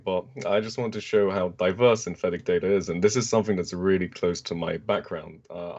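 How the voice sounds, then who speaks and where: A man co-presenting in an online conference talk, explaining fluently over muffled video-call audio. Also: low voice.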